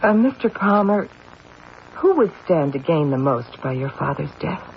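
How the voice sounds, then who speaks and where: An actor playing a skeptical, businesslike police detective with pointed questioning, in an old-time radio drama style. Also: male voice, on the high side, very animated, moderate pace. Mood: probing, cool. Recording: muffled old radio recording, faint noise.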